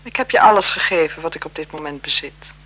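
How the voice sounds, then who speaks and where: An actress playing an anxious, guilt-ridden French marquise in a radio drama, speaking formally in halting phrases. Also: female voice, low-pitched, animated, a bit bright, poor audio. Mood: tense, resigned. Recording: 1970s radio recording with noise.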